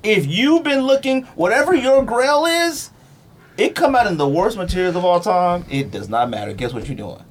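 A man's voice: sits high, animated, normal speed. A man hosting a podcast, arguing casually and emphatically in slangy, repetitive phrases. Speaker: podcast host. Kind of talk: animated sneaker debate, casual chat.

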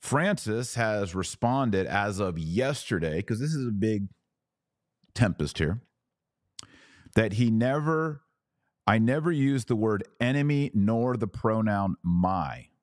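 A man delivers live opinion commentary as a podcast host. His voice sits fairly low, and he breaks his points into deliberate, emphatic phrases. The sound is dry and close to the microphone. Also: animated, dry recording.

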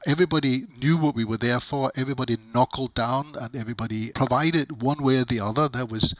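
A man's voice: medium pitch, fast talker, sharp tone, no echo. A middle-aged man who speaks English as a second language, answering interview questions in an emphatic, wordy way and repeating phrases for emphasis. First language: not English. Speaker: interviewee, technical documentation expert.

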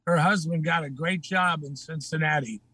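An older man with a Texan accent tells stories as a guest, laughing often. His voice sits high for a man and his voice is slightly changed by breathing trouble. The sound is dry and close. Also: moderate pace, pushed and bright, husky, dry recording. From Texas. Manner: laughing, anecdotal storytelling. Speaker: guest, former TV news anchor. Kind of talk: casual interview.

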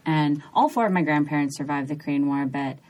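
A woman in her thirties from New York talks about her writing as a podcast guest. Her voice sits low. She speaks thoughtfully and often restarts her sentences. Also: fairly quick, a little mellow. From New York, USA. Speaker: author guest. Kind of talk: podcast interview.

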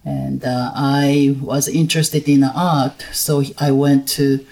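A middle-aged woman from Japan speaking English, talking as a guest in a casual interview, soft-spoken and hesitant, with many fillers. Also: a bass voice, little pitch movement, takes it slowly, gravelly. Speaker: guest, winery owner.

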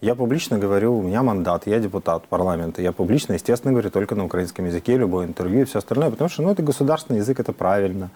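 Fast-talking man, low and mellow. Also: expressive. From Ukraine. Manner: earnest, explanatory, frequent hesitations. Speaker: member of parliament, guest. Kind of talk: interview answers.

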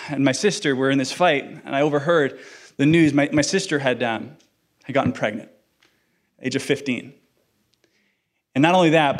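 A man in his thirties, a pastor, giving a sermon with personal stories, joking and self-deprecating, then earnest. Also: on the high side. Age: 30s.

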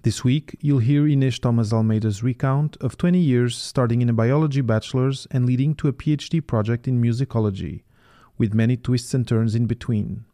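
A man's voice: mid-range voice, fairly even intonation, fairly quick, mellow, no room sound, studio quality. A man hosting a podcast, reading a scripted intro and then chatting with his guest, stumbling a little when he speaks off the cuff. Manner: upbeat, stumbles when ad-libbing. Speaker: podcast host. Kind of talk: scripted intro and casual interview.

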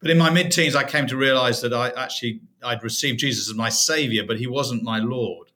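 A man's voice: mid-range voice, brisk, bright, forceful tone. A middle-aged British man from the UK, a podcast guest telling his faith story in a reflective way. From United Kingdom. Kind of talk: personal testimony in an interview.

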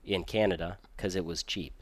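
A very low-voiced man recounting his past in an easygoing, rambling way, full of fillers. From Michigan and raised in Virginia, he sounds close and dry, with no room around him. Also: fairly even intonation, normal speed, no room sound. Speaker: guest race car driver. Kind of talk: casual podcast interview.

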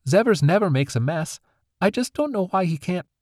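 Squeaky, spirited young fairy girl with a rebellious streak, storybook reading, as a man puts her on; high and very lively, close and dry. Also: moderate pace, dry recording. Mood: nervous.

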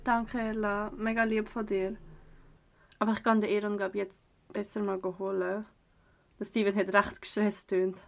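A young woman with a Swiss German accent playing a kind, apologetic partygoer in an audio drama, speaking gently and hesitantly. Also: mid-range voice, level tone, measured pace, creaky. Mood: worried, guilty. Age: young adult. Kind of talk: amateur audio drama dialogue. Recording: music underneath.

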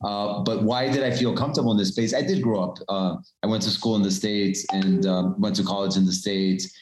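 Measured businesslike man in echoey room. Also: on the low side, steady tone, a little mellow, slight background noise. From Colombia. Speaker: guest, cannabis investor. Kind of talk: conversational interview answers. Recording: roomy echo.

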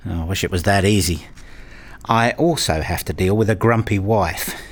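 A man's voice: sits low, normal speed. A man playing an earnest married father and hobbyist, narrating and acting his scripted story in a radio play. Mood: rueful. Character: eager married father and hobbyist, a little rueful. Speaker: narrator and main character.

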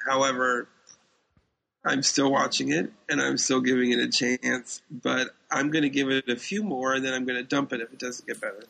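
Gravelly man in casual podcast chat. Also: medium pitch, flat delivery, normal speed, sharp tone, low-quality recording. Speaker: podcast co-host. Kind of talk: casual chat about sci-fi TV shows.

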